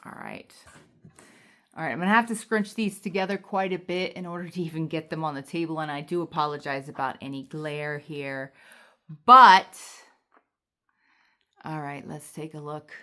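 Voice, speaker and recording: A woman doing a casual spiritual tarot reading, speaking haltingly as she goes through the cards. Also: low voice, pushed and bright. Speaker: tarot card reader. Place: indoors at a table, away from home.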